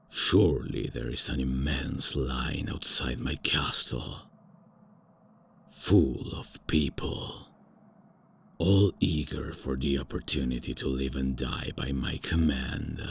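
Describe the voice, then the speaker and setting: A man's voice: rumbling low, vocal fry, a little hiss or hum, full character voice. A man voicing a haughty, out-of-touch ancient male vampire lord in a comedy ASMR roleplay, with a pompous, theatrical manner.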